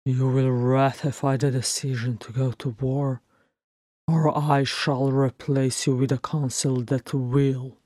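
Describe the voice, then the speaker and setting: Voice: medium pitch, flat delivery, slow pace, darkish tone, no echo. A narrator voicing a stern, weary old king in a fantasy story, grave, formal and commanding.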